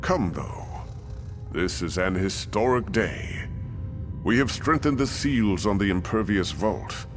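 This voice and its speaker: A deep-voiced, gracious king speaks slowly and with stately formality. Faint game music plays beneath his dignified address. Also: expressive. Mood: stately, proud. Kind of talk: scripted game dialogue.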